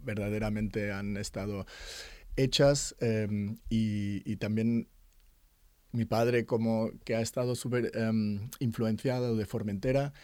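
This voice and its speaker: Halting middle-aged man, mellow voice. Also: lowish voice, steady tone, dry recording. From Germany. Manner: halting, many fillers and restarts. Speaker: interview guest, artist's son. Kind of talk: conversational interview.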